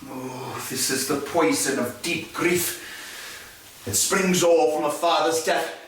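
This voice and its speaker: An actor playing a scheming middle-aged king, speaking verse in a measured, weighty classical stage manner. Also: a little high, fairly bright tone. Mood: grave, troubled. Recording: echoey stage recorded from far off.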